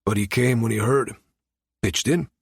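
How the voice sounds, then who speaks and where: A man voicing a grave, inscrutable investigator with a husky, deliberate delivery in an audiobook. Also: medium pitch, no room sound, studio quality. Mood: serious, probing. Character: grave, inscrutable male investigator, measured and probing. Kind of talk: audiobook character dialogue.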